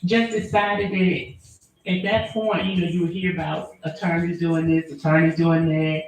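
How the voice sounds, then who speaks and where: A woman attorney, answering interview questions in an earnest, measured way with frequent fillers. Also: lowish voice, little pitch movement, a little hiss or hum. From Alabama, US. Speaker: attorney and former prosecutor. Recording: very echoey room.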